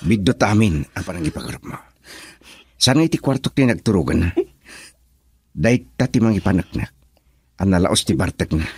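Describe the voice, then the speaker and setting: An actor playing an earnest, wronged young lover in an Ilocano radio drama, insistent and dramatic, with an Ilocano accent. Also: male voice, deep voice, sing-song, a little fast, gravelly, pauses often, husky, no room sound, studio quality, exaggerated voice. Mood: pleading.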